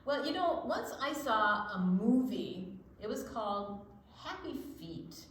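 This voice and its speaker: Slow, expressive older woman teaching young children. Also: on the high side, very echoey, a little hiss or hum. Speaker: children's storytime co-host and reader. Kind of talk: unhurried explaining and reading to children.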